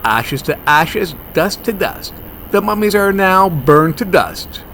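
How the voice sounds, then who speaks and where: Man playing a high-voiced, cocky monster hunter. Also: animated, slow pace, fairly bright tone, slight background noise, full character voice. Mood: cocky taunting. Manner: brash, argumentative, sarcastic. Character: cocky, stubborn, wisecracking male monster hunter. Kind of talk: comedy horror audio drama acting.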